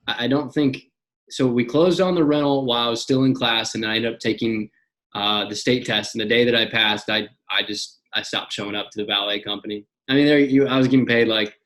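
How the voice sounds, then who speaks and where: A young man in his twenties, a podcast guest talking in an energetic casual way, often repeating and restarting words. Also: medium pitch, rough recording. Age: young adult. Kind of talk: casual interview chat.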